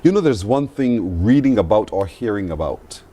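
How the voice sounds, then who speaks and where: A man's voice: lowish voice, expressive, moderate pace, warm tone, husky. A man hosting a morning television interview in a conversational style, often affirming what he hears. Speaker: morning television show host.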